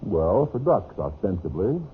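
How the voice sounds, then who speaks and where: An old, dull radio recording carries a deep-voiced scheming husband. His delivery swings widely in pitch, smooth and falsely friendly, with sly, deliberate emphasis straight out of fifties radio drama. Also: very animated, a little hiss or hum. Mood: coaxing, sly. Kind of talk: 1950s radio drama acting.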